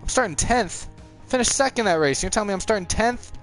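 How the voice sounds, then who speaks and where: A man's voice: high voice, expressive, faint music behind. A nineteen-year-old man casually chatting on a live stream while playing a racing game, rambling and reacting to the race. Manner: chatty, rambling, quick to frustration, chuckles. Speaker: gaming streamer.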